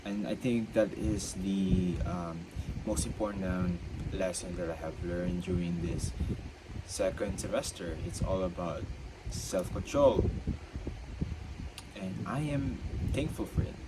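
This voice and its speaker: Slow, deep-voiced young man with a stutter. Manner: stutters, halting and repetitive. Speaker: student. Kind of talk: unscripted reflective monologue. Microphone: own mic. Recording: loud background noise.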